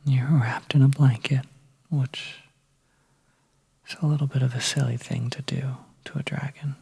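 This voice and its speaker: A storyteller narrating a suspenseful ASMR tale in a soft, breathy whisper, hushed and gentle with pauses. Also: medium pitch, level tone. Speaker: audio storyteller.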